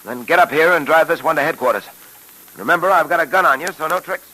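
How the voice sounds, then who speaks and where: An actor playing a tough, alert police detective in a brisk, commanding 1930s radio serial style. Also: male voice, fairly high pitch, pushed and bright, low-quality recording. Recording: hissy 1930s radio broadcast recording.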